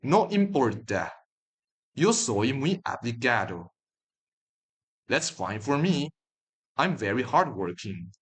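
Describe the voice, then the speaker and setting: Slow, husky man teaching a language lesson. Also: mid-range voice, sing-song. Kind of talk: slow repeat-after-me lesson narration.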